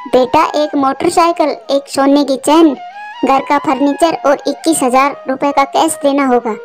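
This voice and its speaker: A woman's voice: high voice, steady tone, measured pace, faint music behind. A woman voicing a practical, coaxing matchmaker bird auntie in a cartoon story. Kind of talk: Hindi cartoon story voice acting.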